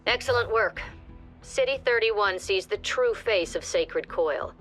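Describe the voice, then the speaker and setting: A calm, commanding agency director. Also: female voice, medium pitch, soft background music. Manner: measured, commanding briefings. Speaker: director giving briefings.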